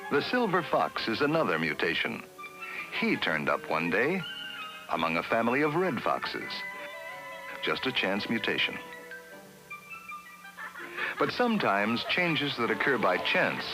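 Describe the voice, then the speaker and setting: A man giving measured, scripted narration for a 1960s classroom educational film. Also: on the low side, rough recording. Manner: measured, explanatory.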